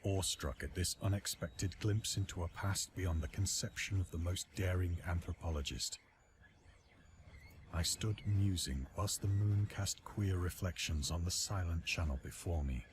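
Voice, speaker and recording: An actor playing a haunted man who confesses his descent into madness, in grave, deliberate, literary narration. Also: low voice, noisy background, natural voice. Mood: awestruck horror. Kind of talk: dramatic scripted horror narration.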